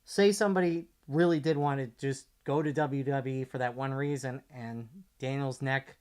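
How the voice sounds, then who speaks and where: A man co-hosting a talk podcast, discussing sports casually and analytically, measured and point by point. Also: mid-range voice, fairly even intonation, darkish tone, smooth. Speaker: podcast co-host.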